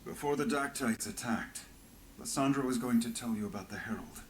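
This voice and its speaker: An actor playing a weary, disillusioned rebel veteran in a fantasy video game, speaking in a grave, measured way. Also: male voice, medium pitch, expressive, slight background noise, natural voice, low-quality recording. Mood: grave, instructing. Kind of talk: dramatic fantasy game voice acting.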